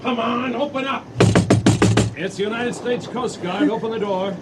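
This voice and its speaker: An actor playing a brisk, commanding yet courteous young Coast Guard officer in an old-time radio drama. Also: male voice, very high voice, loud music behind the voice. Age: young adult. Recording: noisy old radio recording.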